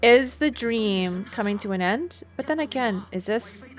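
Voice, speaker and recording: A woman streamer reading a game's narration aloud in an expressive storytelling way, with casual reactions. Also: fairly high pitch, a little slow.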